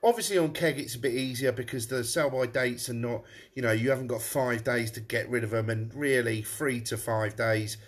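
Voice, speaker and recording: Pub landlord, blocked nose, rambling casual live-stream talk, mid-pitched. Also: male voice, moderate pace, hardly any pauses. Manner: chatty, rambling, frequent restarts. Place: room above his pub.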